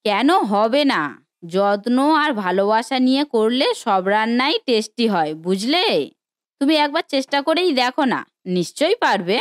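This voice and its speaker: A woman's voice: a little high, lively intonation, normal speed, no room sound, clean studio sound, lightly acted. A woman voicing an affectionate, earnest village wife who reassures her husband in a cartoon story. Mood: encouraging. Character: supportive, encouraging village wife of a biryani cook. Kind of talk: Bengali cartoon story voice acting.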